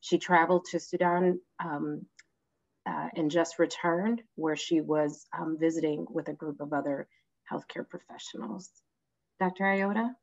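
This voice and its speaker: Woman hosting a video call event, kinda low voice, polite, pauses a lot, slightly dull sound. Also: steady tone, gravelly. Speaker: host, moderator. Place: online video conference.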